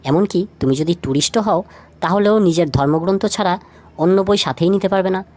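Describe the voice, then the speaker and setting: A woman's voice: on the low side, brisk, a little mellow. A woman presenting a scripted, light trivia feature, speaking directly to the listener with rhetorical questions. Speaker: lead narrator of a feature video.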